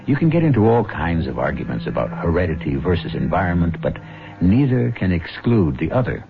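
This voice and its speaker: A man hosting a radio drama, narrating a script in a measured, philosophical, theatrical way. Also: low-pitched, big pitch swings. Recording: dull old radio recording.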